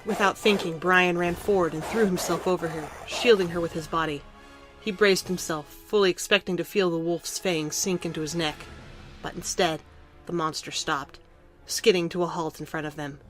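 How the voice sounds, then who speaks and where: A woman's voice: on the low side, steady tone, normal speed, faint music behind. A woman reading scripted story narration for an audio drama.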